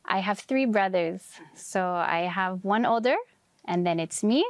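A woman in her thirties from Canada, telling her life story as an interview guest in an earnest, gentle way with many soft fillers. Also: mid-range voice, measured pace, no room sound. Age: 30s.

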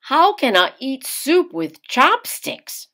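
A woman's voice: high-pitched, very animated, slow, bright, forceful tone, pitched above the performer's own voice, exaggerated voice. A woman voicing an anxious, lovestruck young American sailor in a storybook, speaking earnestly and with emphasis. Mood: pleading.

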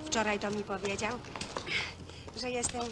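A woman's voice: medium pitch, steady tone, unhurried, music in the background, lightly acted. An actress playing a chatty, pleased local woman in a naturalistic film drama. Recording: noisy old film soundtrack with clicks.

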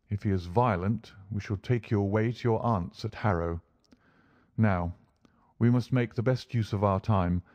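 A man voicing a shrewd, commanding English gentleman detective, brisk, courteous and authoritative, in a storybook reading. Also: lowish voice, dry recording, dull audio, lightly acted.

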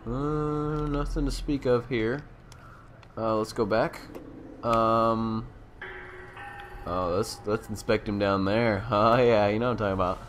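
A man's voice: on the low side, fairly even intonation, measured pace, pauses often, a little hiss or hum. A young man giving casual, jokey commentary on a game, slangy and thinking aloud. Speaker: gamer, walkthrough host. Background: faint game music.

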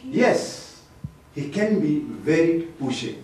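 An actor playing a defensive middle-aged accused man testifying in a TV courtroom drama, halting and deliberate, with a Kenyan accent. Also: on the high side, big pitch swings, darkish tone. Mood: defensive, earnest. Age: 50s. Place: indoor courtroom set. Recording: echoey room, distant mic, faint noise.

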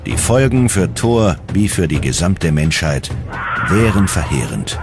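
A man's voice: sits low, big pitch swings, a little slow. A man delivering scripted documentary narration in a dramatic storytelling manner. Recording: prominent music under the narration. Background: loud background music.

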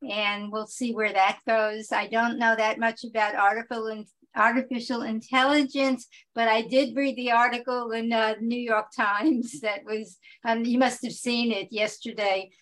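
A woman with a fairly high voice held in a narrow, flat range. She introduces herself haltingly, with many fillers, on a video call. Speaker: children's book author. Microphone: laptop on a video call.

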